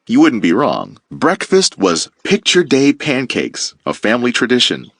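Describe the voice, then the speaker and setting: A man teacher reading a children's picture book aloud with playful, expressive storytelling. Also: mid-range voice, measured pace, no room sound, clean studio sound.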